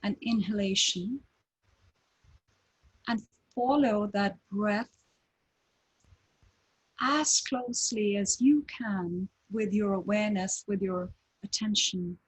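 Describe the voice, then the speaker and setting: Slow, breathy woman guiding meditation. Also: medium pitch, low-quality recording. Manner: slow, calm, gentle instructions with long pauses. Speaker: meditation teacher.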